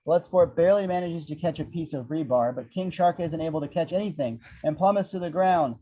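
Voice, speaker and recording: A man's voice: a little high, a little fast, dark tone. A man narrating action descriptions at a script table read, with irreverent, wry asides. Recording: dull, lo-fi audio.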